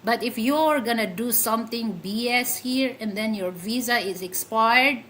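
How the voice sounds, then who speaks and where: A woman's voice: on the high side, unhurried, sharp tone, a little hiss or hum. A woman with a Filipino accent hosting a casual livestream, chatty and full of fillers and giggles. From Philippines. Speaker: livestream host.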